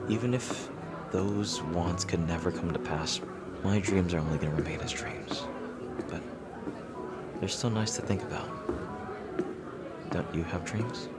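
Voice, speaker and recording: A man playing a lovestruck royal rival in a romantic audio roleplay, playful and yearning, with tender, hesitant delivery. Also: low voice. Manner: teasing, hesitant, tender, formal-leaning phrasing. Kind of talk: romantic audio roleplay, intimate ASMR acting. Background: music.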